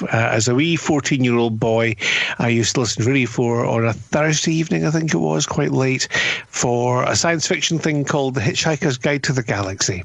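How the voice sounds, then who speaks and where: A man's voice: mid-range voice, creaky, no room sound. A man in his fifties giving an enthusiastic, emphatic and conversational review as a radio critic. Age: 50s.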